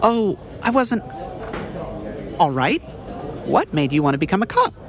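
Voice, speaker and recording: A woman's voice: mid-range voice, very animated, measured pace, crowd noise. A woman playing a guarded, teasing government aide in a noir comedy audio drama, by turns hesitant and evasive. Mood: flustered, eager.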